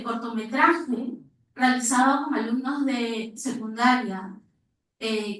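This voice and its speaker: A woman with a Peruvian accent speaking informally from the audience at a conference, telling a story and asking a question. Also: on the high side. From Peru. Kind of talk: spontaneous anecdote and question to panelists. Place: reverberant indoor conference room. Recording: very echoey room, distant microphone.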